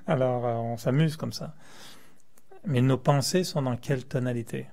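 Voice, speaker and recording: A man from France leads a meditation class, his voice gravelly with a touch of fry and pitched in an ordinary middle range. He teaches in a light, joking way and often breaks into a chuckle while he makes his points. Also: takes it slowly, dark tone. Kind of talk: spiritual talk to a class.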